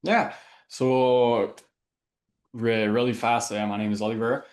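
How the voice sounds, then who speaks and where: A man from Quebec with a Quebec French accent, a native French speaker, answering interview questions in English and often pausing to search for words. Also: mid-range voice, little pitch movement, a little mellow. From Quebec, Canada. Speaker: interview guest. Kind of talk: casual interview.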